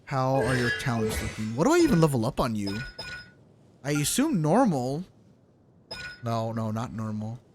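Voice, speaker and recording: A man's voice: mid-range voice, very animated, moderate pace, long pauses, soft background music. A man doing casual live gameplay commentary as a streamer, thinking aloud and talking to himself. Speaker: game streamer.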